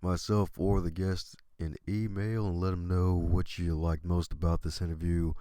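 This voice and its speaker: A man's voice: sits low, flat delivery, normal speed, dark tone, no room sound, clean studio sound. A man hosting a podcast interview, upbeat and playful, repeating his catchphrases. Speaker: podcast host. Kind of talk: casual interview chat.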